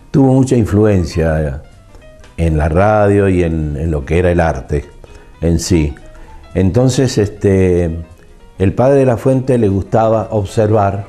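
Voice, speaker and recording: An older man with a Mendoza Argentine accent, reminiscing haltingly in an interview as a veteran radio actor. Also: low voice, mellow. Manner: halting, repeats words as he searches.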